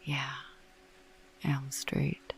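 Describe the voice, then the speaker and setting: A woman narrating a bedtime ASMR podcast in a hushed, breathy whisper, soothing and unhurried. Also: rumbling low, monotone. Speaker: host of a sleep podcast.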